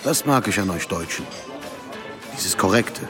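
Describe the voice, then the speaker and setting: A man's voice: deep voice, lively intonation, unhurried. A man acting as a cocky, menacing street-racer from Lebanon in a radio drama, blunt, casual and mocking. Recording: music behind the voice.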